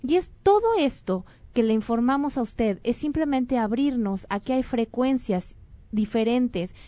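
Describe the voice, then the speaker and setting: Earnest, mellow-voiced Mexican woman host. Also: a little high, animated, unhurried, no echo. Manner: earnest, rambling with frequent fillers and self-corrections. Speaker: radio or online show host. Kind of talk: casual spoken show announcements and guest introduction.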